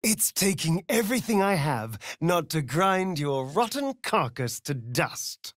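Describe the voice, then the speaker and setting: An actor with a British accent playing a sardonic, preening vampire rogue in a fantasy video game, with an arch, drawling, theatrical delivery. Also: male voice, fairly high pitch, big pitch swings, unhurried, fairly bright tone, no echo, studio quality. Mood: seething.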